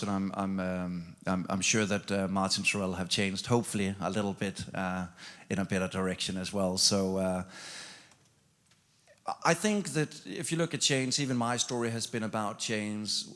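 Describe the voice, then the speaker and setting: A man, a keynote speaker, answering audience questions in halting talk full of repeated words and restarts. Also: fairly low pitch, fairly bright tone. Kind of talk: conference Q&A answers. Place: large conference hall. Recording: long hall reverb at a conference.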